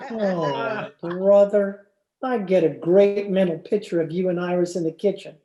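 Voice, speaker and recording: Actor as a slow-talking, skeptical radio sitcom husband. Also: male voice, sits high, highs cut off, a crowd in the background. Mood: wary, sardonic. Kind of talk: old-time radio comedy acting. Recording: roomy, echoing home recording with noise.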